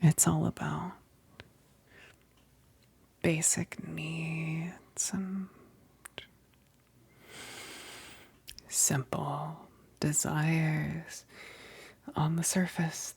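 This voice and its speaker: A woman acts out a seductive female vampire in an ASMR roleplay, hungry yet holding back. Her whispered voice is lowish and gravelly, full of breath. She lingers teasingly over her words, close to the mic in a dry space. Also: fairly even intonation, extremely slow, long pauses, darkish tone, no room sound, exaggerated voice. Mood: wistful, intimate. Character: hungry, seductive female vampire, a possessive but restrained best friend. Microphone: close, dry microphone.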